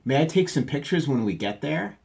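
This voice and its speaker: A man's voice: on the high side, lively intonation, a little fast, gravelly, a little mellow, pitched above the performer's own voice. A man voicing an eager young boy bear cub in a storybook read-aloud, raising his voice a little.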